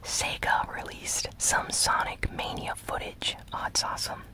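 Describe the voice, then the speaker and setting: An ASMR gaming news host speaking in a breathy, unvoiced whisper, soft, unhurried and conversational.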